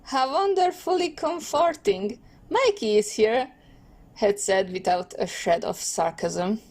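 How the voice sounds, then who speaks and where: A woman's voice: on the high side, takes it slowly, a little mellow. Woman voicing a wordy, wry boy genius. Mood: dry, uneasy. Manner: pedantic, wordy, sarcastic. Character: trapped male teenage tech genius, wordy, wry, anxious. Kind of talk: comic dub character acting.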